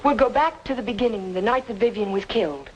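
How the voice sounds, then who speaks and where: An actress playing an earnest, insistent woman in an old film noir drama, speaking emphatically and deliberately. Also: fairly high pitch, lo-fi sound, lightly acted. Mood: determined. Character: earnest, insistent woman reasoning with a troubled man. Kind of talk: mid-century film noir drama acting. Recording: noisy old film soundtrack, hissy.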